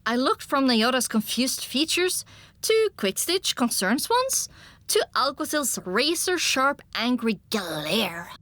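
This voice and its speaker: A woman narrating a scripted first-person story for an audiobook in an expressive storytelling manner. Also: high voice, takes it slowly, dry recording.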